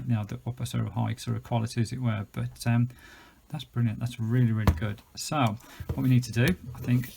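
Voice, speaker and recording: A man's voice: mid-range voice, vocal fry, a little mellow. A British man giving a chatty, enthusiastic, unscripted gadget review, explaining things as he goes. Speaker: product reviewer.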